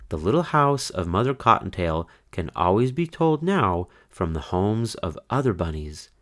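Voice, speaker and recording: A man narrating a children's storybook in a dramatic read-aloud style, with deliberate phrasing and pauses. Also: sits low.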